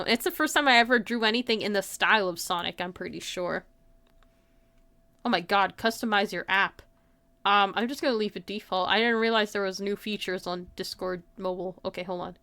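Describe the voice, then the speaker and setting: A woman's voice: medium pitch, pushed and bright, clear, resonant tone, no echo. A woman livestream host chatting casually with her viewers in an informal, giggly way, saying "like" often. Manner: giggly, informal, frequent filler "like".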